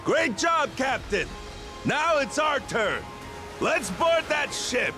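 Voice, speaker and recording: A man's voice: very high-pitched, sing-song, slow, music in the background, heavily acted. A man voicing a knowing, streetwise pirate crewman in a video game, talking in casual, punchy phrases. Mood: excited.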